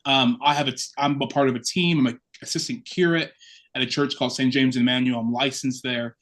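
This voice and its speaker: A man's voice: medium pitch, fairly even intonation, brisk, a bit bright. A man speaks as a guest on a podcast. He tells his story in an open, reflective way, in the easy manner of casual conversation. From Chicago, United States. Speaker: assistant curate, guest. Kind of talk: casual interview talk.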